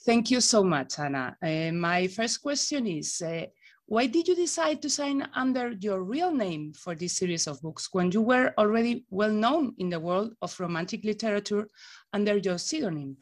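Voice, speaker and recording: A woman's voice: medium pitch, expressive, moderate pace. Woman interviewing on a headset. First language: Spanish. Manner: hesitant, with many fillers. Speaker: interviewer, host of the channel. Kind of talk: video call interview.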